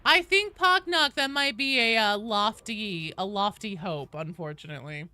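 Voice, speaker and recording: A woman game streamer with a bright, forward voice at an average pitch. She talks casually to her chat, reading comments and answering them playfully, and she swears freely. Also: lively intonation, moderate pace, smooth, no echo.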